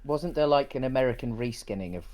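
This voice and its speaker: A man with an average-pitched voice co-hosts a relaxed chat show about films. He speaks conversationally and measures out his thoughts tentatively. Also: fast. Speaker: co-host of a film discussion show. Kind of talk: casual chat.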